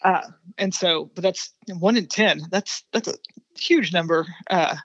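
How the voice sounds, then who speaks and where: A woman's voice: lowish voice, unhurried, fairly bright tone, slightly rough, dry recording. Woman researcher in dull, dry audio. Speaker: researcher guest. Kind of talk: interview discussion of research findings.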